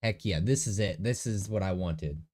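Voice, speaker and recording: A man's voice: low-pitched, moderate pace, a little mellow. Nasal young man rambling through game prep. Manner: rambling, self-correcting, talks to himself. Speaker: tabletop game master. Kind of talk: casual screen-recorded prep vlog. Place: his bedroom. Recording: faint echo in the room.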